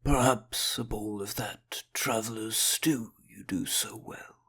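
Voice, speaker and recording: Man playing a slow, gravelly, courteous gentleman. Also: lowish voice, full character voice. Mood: gracious. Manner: polite, formal. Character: courteous, measured gentleman traveller in fantasy tavern. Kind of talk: audio drama acting.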